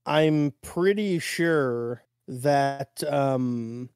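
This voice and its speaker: A man's voice: mid-range voice, very slow, a little mellow, clear, resonant tone, no room sound, clean studio sound. A man co-hosting a podcast in casual discussion, with an informal, slangy way of talking. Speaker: podcast co-host.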